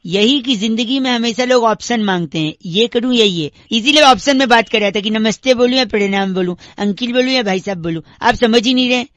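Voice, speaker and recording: Comic radio prank caller, played by a man: very high voice, dry close sound, pedantic, needling, mock-earnest. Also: a little fast, few pauses, a bit bright, no room sound. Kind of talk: comic prank phone call.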